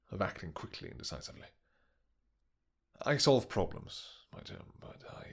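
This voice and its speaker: A man acts out a possessive vampire king with a very low voice full of gravel. He is intense and lovestruck, speaking in courtly, old-fashioned phrases broken by halting pauses. It sounds like an intimate fantasy audio drama, with a husky edge to the delivery. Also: a bit bright, exaggerated voice. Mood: jealous, yearning. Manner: formal archaic courtly diction, halting breathy pauses. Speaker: voice actor in romantic audio roleplay. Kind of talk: intimate romantic fantasy roleplay acting.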